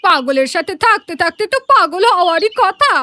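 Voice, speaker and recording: A woman's voice: very high-pitched, lively intonation, a little fast, sharp tone, exaggerated voice. A woman voicing a quarrelsome, mocking village wife in a moral-story cartoon, with a scolding, sarcastic manner. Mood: taunting.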